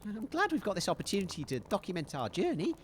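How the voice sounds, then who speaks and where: Man acting a high-pitched, jittery radio DJ. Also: wildly swooping pitch, quick pace, slight background noise. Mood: hesitant, worried. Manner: stammering, anxious, high-strung. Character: nervous, hesitant male radio DJ on the run. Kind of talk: audio comedy drama acting.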